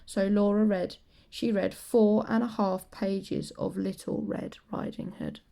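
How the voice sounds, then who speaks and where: Creaky-voiced woman reading a children's story. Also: mid-range voice, fairly even intonation, unhurried, dark tone. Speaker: storybook reader, teacher. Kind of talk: scripted children's story narration.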